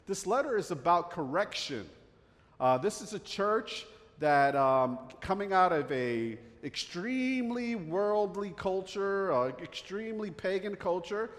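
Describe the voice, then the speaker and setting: A middle-aged pastor with a North Jersey accent, preaching a conversational sermon full of fillers and restarts. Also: male voice, on the high side, big-room sound. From northern New Jersey.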